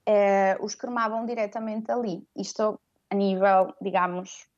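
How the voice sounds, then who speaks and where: Woman, interview guest, from Venezuela and Madeira, hesitant, many fillers, middle pitch, muffled lo-fi sound. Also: steady tone, a little slow, darkish tone. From Venezuela, raised from 12 in Madeira. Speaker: guest, language teacher. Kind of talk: conversational interview.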